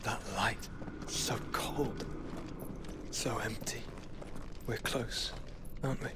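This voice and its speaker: A man playing an ailing but resolute younger brother in a dark fantasy game, gentle and weary. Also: mid-range voice, fairly even intonation, takes it slowly, lightly acted. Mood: weary, gentle resolve. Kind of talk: dark fantasy video game cutscene acting. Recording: noisy ambience behind the voice.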